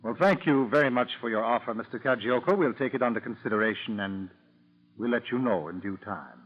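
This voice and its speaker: An actor playing a calm, courteous FBI chief with an American accent, formal and measured, in a 1940s radio drama. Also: male voice, mid-range voice, natural voice. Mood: polite, reserved. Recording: dull lo-fi 1940s radio recording.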